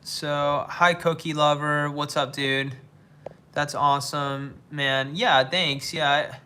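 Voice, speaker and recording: A young man from the United States hosts a casual livestream, reading out his chat and answering each viewer. His voice sits a little high and sounds bright, and he stops and restarts his sentences often. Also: steady tone, slight background noise. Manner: reads viewer comments aloud and replies, with halting restarts. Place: living somewhere in Asia.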